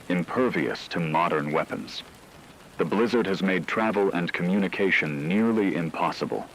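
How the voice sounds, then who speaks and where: A man's voice: sits low, fairly bright tone, slight background noise, rough recording. A man playing a grave radio news anchor, reading a horror broadcast in a solemn, formal, ominous way. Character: grave male radio news anchor reporting supernatural terror.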